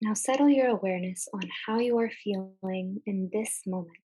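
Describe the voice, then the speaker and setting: A woman leading a guided meditation in a gentle, soothing manner, speaking in short phrases with long pauses. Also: mid-range voice, low-quality recording.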